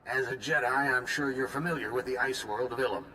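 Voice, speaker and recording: A man's voice: medium pitch, steady tone, a little fast, pushed and bright, a little hiss or hum, lightly acted, low-quality recording. An actor playing a male supreme commander giving a formal, urgent mission briefing in a video game cutscene. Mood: earnest. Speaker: military commander.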